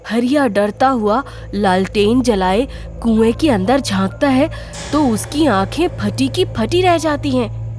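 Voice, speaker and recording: A woman narrates a scripted tale in dramatic storybook fashion. Her voice is high and she takes her time. Music plays softly beneath her. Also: expressive, slow.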